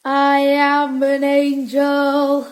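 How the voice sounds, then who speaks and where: A woman's voice: high-pitched, monotone, drawn-out words. A woman playing a teasing, playful working-class child in a Victorian audio drama, with clipped, informal speech.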